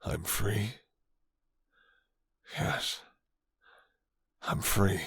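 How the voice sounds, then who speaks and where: A man voicing a tender, grateful werewolf in a romantic ASMR roleplay, speaking softly and hesitantly in a low, husky, breathy voice. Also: fairly even intonation, dry recording, full character voice. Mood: relieved, grateful. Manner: slow, soft, hesitant, sighing. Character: handsome male werewolf, tender, grateful, smitten.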